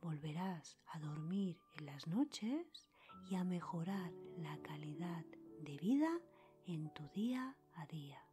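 A woman whispering a scripted guided meditation in a soft, breathy, calm voice, leaving pauses between short phrases as a podcast host. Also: on the low side. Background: music.

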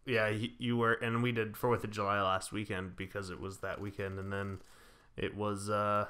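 A man's voice: on the low side, little pitch movement, moderate pace, bright, forceful tone. A man co-hosting a podcast in an easy, casual chatting style. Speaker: podcast co-host.

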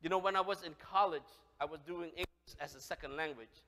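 Cambodian-accented guy preaching on a headset mic, loud then hushed, long echo in the sanctuary. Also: a little high, quick pace. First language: Khmer. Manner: animated, gesturing, swings from loud exclamation to soft. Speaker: pastor. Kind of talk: sermon. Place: church sanctuary, large, indoors. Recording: long hall reverb.

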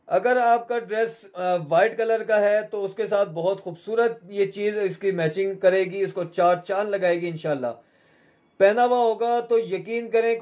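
A man's voice: high voice, darkish tone. A man with a Pakistani accent hosting a live shopping show, selling in a repetitive, urging salesman's manner.

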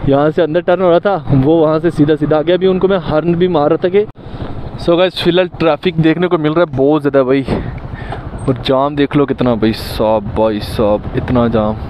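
A man's voice: on the high side, fairly even intonation, fairly quick. A young man from the Jammu region in India chats informally to his viewers while riding a motorcycle, giving casual vlog commentary. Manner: chatty, informal, often addressing viewers as guys and bro. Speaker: motovlogger, motorcycle rider. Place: outdoors, riding a motorcycle on roads. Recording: muffled sound with loud engine and road noise. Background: motorcycle engine, passing vehicles, outdoor traffic.

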